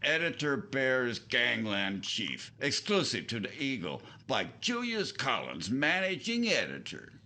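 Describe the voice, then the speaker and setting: A man's voice: mid-range voice, wildly swooping pitch, measured pace, hardly any pauses, pushed and bright, slight background noise, full character voice. A man playing a defiant, slangy gangland boss in the manner of a 1950s radio crime drama. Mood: grudging, sullen. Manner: tough slangy gangster talk, protesting. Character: defiant gangland boss and crooked politician, male.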